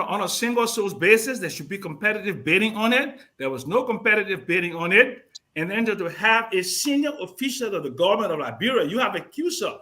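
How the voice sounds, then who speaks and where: Male caller, combative, high and bright tone. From Ghana. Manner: combative, talks over interruptions. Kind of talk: heated call-in debate.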